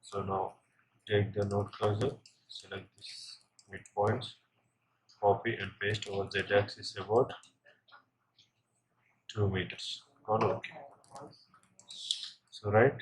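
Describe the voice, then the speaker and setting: Low, monotone man teaching software slowly. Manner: halting, with frequent check-in tags. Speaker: software instructor. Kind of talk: step-by-step screen tutorial. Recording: noisy, with keyboard typing and clicks.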